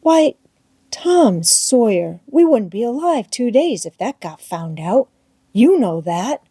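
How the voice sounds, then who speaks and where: A woman's voice: on the high side, sing-song, slow, warm tone, breathy edge, dry recording, clean studio sound, pitched above the performer's own voice, heavily acted. A woman voicing a plain-spoken, kindly vagabond boy in an audiobook, with emphatic, drawling rustic dialect.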